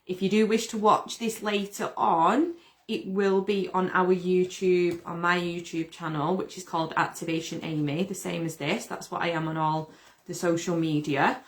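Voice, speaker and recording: Chatty woman, slightly croaky. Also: lowish voice, steady tone, normal speed. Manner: chatty, upbeat, greets viewers by name. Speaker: host. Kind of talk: casual live stream chat.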